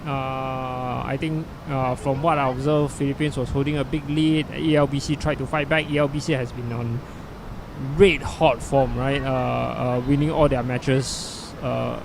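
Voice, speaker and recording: A man's voice: medium pitch, fairly even intonation, a little slow, gravelly. A middle-aged Singaporean man with a Singaporean English accent giving loose, chatty live commentary on a lawn bowls final, full of fillers and asides. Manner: chatty and loose, many fillers, self-deprecating. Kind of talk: live solo sports commentary. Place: outdoor bowling green. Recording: noisy outdoor live stream.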